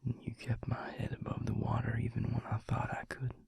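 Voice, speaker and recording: A man playing a tender, weary vampire lover in an intimate audio roleplay, speaking haltingly in a hushed, breathy, gravelly voice. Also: medium pitch, monotone, dry recording, heavily acted. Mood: raw, vulnerable. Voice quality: breathy, husky, gravelly half-whisper. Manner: hushed, halting, with long pauses.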